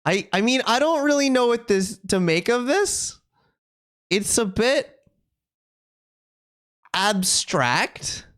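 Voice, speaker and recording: A game streamer giving casual, halting live commentary and reading game text aloud expressively. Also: medium pitch, animated, fairly bright tone. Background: music.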